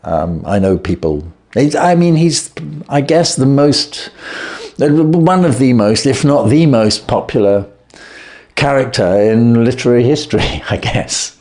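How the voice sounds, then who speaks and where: A male narrator reading a classic tale, with a voice that rises and falls in lively turns. In his own chat he pauses and hesitates often. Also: mid-range voice. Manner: hesitant, many fillers in chat. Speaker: audiobook reader. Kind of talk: storybook narration and casual sign-off.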